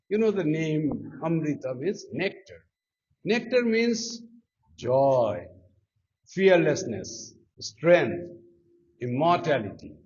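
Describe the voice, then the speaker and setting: A monk with a Nepali accent, giving a devotional talk in English with slow, emphatic stresses on key words. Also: male voice, on the high side, husky. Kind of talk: devotional talk with sung Sanskrit chant. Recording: dull lo-fi sound.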